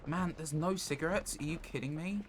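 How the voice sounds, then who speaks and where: A man's voice: a little high, fairly quick. A man doing casual live gameplay commentary as a streamer, thinking aloud as he plays and sometimes swearing. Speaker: game streamer. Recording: clear background noise.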